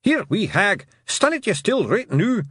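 A man voicing a tiny, brash, scrappy blue warrior with a Scottish accent for a storybook, shouting and combative. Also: high-pitched, big pitch swings, measured pace, husky, dry recording, clean studio sound, voice pushed up, full character voice. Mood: urgent, bossy.

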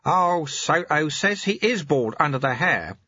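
A man's voice: a little high, animated, husky, dry recording, muffled recording, voice pushed up, heavily acted. A man doing an amiable old monk sweeper. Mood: amused. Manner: gently teasing, unhurried.

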